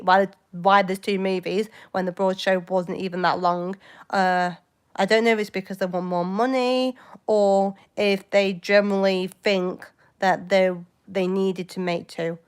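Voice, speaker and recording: A young woman in her late twenties talks to her livestream viewers in a loose, meandering way. She hesitates often and hops from topic to topic. Her voice sounds smooth and clear, at a middling pitch for a woman. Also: level tone, a little slow. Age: 20s. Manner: rambling, hesitant, jumps between topics. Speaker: livestream host. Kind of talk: casual live chat with viewers.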